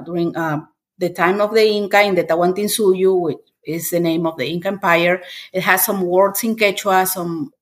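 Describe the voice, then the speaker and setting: A woman's voice: mid-range voice, a bit bright. A woman in her forties from Peru, with a Peruvian Spanish accent and Spanish as her first language, talking as a guest and often restarting phrases while she searches for words. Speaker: guest author. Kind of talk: casual interview conversation.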